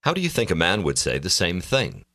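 A man narrating a language course, calmly prompting the learner to repeat phrases. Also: deep voice, moderate pace, no room sound, clean studio sound.

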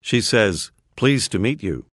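A man narrating a scripted language course, clearly prompting the listener to repeat phrases. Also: lowish voice, animated, takes it slowly, fairly bright tone, no room sound. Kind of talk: scripted audio lesson instructions. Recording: dry studio voice-over.

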